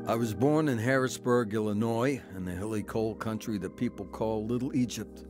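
An actor playing a tough, cocky American tavern owner in a radio drama, blunt and wisecracking. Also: male voice, fairly low pitch, normal speed, over loud music, lightly acted. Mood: reminiscing.

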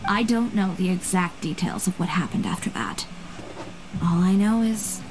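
A woman playing a proud, determined young fashion designer in a video game, composed and measured. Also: fairly low pitch, noisy background, natural voice.

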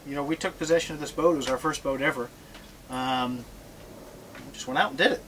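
A middle-aged man speaks casually to a small audience, joking as he goes through his notes. His voice sits in a plain middle pitch. He is recorded by a camera some distance away on a boat in a marina, with a faint hiss behind him. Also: a little fast. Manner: relaxed, joking, reads from notes. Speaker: sailor giving a talk. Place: aboard a catamaran in a marina. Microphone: far camera.

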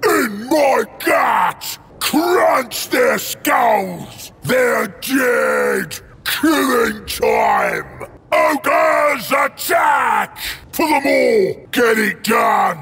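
Bellowing gravelly ogre brute. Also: male voice, extremely high pitch, expressive, drawn-out words, shouting, crowd noise, full character voice. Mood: bloodthirsty, aggressive. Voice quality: gruff, gravelly. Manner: bellowing short blunt war cries. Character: huge, brutish, ravenous ogre warrior, male. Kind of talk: video game unit battle barks.

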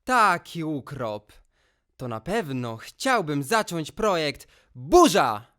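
Someone voicing a slow, mischievous storybook cloud-herder boy. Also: medium pitch, sing-song, a bit bright. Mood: bored, restless. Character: mischievous, bored, grumbly boy storm-cloud shepherd spirit. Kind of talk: musical children's fairy tale, rhymed and sung.

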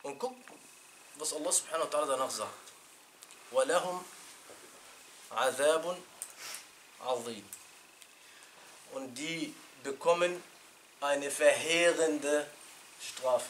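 A middle-aged man teaching an informal religious lesson in a small room, animated and chatty, laughing with his students and restarting his sentences. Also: a little high, moderate pace, pauses often, a little hiss or hum, rough recording. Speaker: sheikh teaching a Quran class. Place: small indoor classroom.

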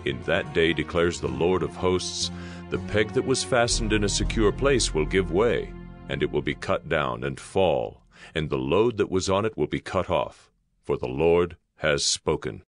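A man's voice: sits low, animated, unhurried, faint music behind. A man giving a solemn, declamatory dramatized narration of scripture. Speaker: audio Bible narrator.

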